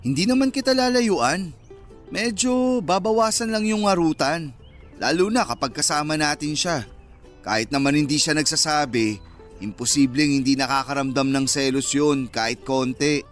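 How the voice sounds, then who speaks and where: A man's voice: fairly high pitch, moderate pace, soft background music, natural voice. An actor playing a cheeky young Filipino man, a sarcastic best friend who teases and sulks in a radio romance drama. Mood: earnest. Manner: sarcastic, teasing banter.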